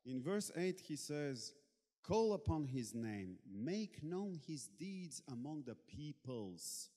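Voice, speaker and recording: Male preacher, native Bulgarian, slow halting delivery, slightly high voice, reverberant hall. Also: sing-song, dark tone. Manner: halting, searching for words, playful. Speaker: guest preacher. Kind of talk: sermon in English with interpreter.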